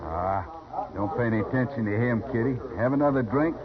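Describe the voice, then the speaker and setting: A man's voice: medium pitch, fairly quick, close to an everyday voice. An American actor playing a polite young gunman with a cocky edge in a 1950s radio western. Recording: dull old radio recording, crowd behind.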